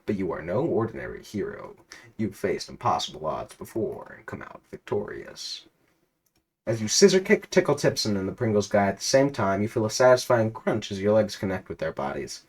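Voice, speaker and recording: A man's voice: on the low side, sing-song, moderate pace, husky. A man narrating an absurd interactive story aloud in a theatrical, emphatic way. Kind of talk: dramatic read-aloud of absurd interactive fiction.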